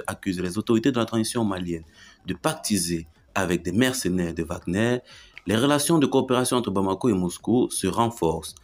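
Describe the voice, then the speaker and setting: A man reading a scripted news chronicle as presenter, formal and measured with emphatic phrasing. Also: on the low side.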